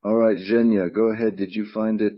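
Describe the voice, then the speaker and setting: A man's voice: on the low side, little pitch movement, brisk, no echo, muffled recording. A man whose first language is Russian, presenting a game project in casual, enthusiastic livestream chat.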